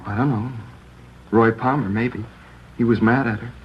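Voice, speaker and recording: An actor playing a shaken teenage boy whose mother has just died, halting and upset, his voice rising at the worst moments in an old radio drama. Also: medium pitch, steady tone, a little hiss or hum, natural voice. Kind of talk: 1950s radio drama acting. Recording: dull lo-fi old radio broadcast.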